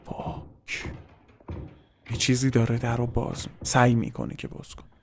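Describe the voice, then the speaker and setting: A man's voice: medium pitch, flat delivery, slight background noise. A man gives casual reaction commentary as a host, swearing informally and dropping in English slang.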